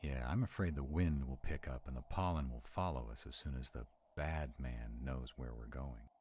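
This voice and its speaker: Male actor as sarcastic vampire, extremely low voice, protective, wary, quick-witted, audio roleplay, noisy ambience. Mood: urgent, protective. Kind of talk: intimate scripted roleplay audio drama.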